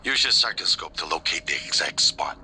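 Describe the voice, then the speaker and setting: An actor playing a weakened but determined man leading a survival mission in a video game, earnest and deliberate with a breathy delivery. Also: a bass voice, lively intonation. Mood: weary resolve. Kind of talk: scripted video game acting. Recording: clear background noise.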